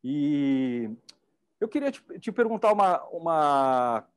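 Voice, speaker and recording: A middle-aged Brazilian man hosts from a studio stage on a lapel mic. His voice sits a little high, and he talks in a chatty, enthusiastic way. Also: big pitch swings, takes it slowly, smooth. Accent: Brazilian Portuguese. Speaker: event host. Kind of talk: casual hosting chat.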